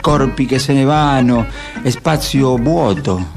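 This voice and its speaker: Slow, poetic man. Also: medium pitch, lively intonation, gravelly, music in the background. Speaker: radio theatre host and narrator. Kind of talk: poetic dramatic radio narration.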